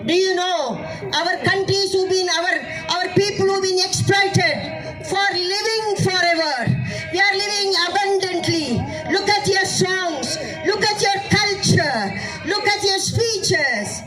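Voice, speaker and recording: A woman, her voice worn hoarse, giving a fervent rally speech, shouting and asking rhetorical questions. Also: very high-pitched, takes it slowly, over music, poor audio. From India. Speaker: activist speaking at a rally. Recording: speech over a cheering crowd.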